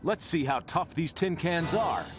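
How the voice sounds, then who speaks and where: A man's voice: a little high, expressive, unhurried. An actor playing a steadfast male superhero in a video game, earnest and resolute. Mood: determined. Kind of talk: video game cutscene acting. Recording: music behind the voice.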